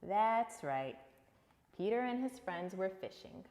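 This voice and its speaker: A woman reading a story aloud to children, expressive and emphatic, putting questions to her listeners. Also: medium pitch, takes it slowly, big-room sound.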